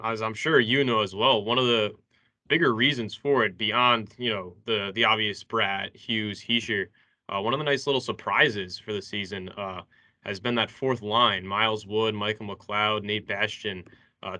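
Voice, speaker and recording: A man with a fairly low but bright, forceful voice co-hosts a sports talk show. He speaks haltingly and repeats words as he builds a question. The sound is close and dry. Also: fairly even intonation, dry recording. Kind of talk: conversational interview questioning.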